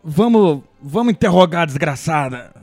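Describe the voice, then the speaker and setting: A man's voice: on the high side, expressive, normal speed, darkish tone, soft background music. A man playing a bossy, foul-mouthed talking dog, a crime-fighting sidekick who scolds in exasperation, in an audio drama. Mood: urgent.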